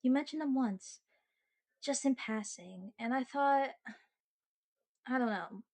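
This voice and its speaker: A woman's voice: high-pitched, darkish tone, heavily acted. A woman acting a sweet, sheepish girlfriend in an ASMR roleplay, chatting earnestly and bashfully to the listener with playful self-mockery. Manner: chatty, halting, bashful with dramatic mock seriousness.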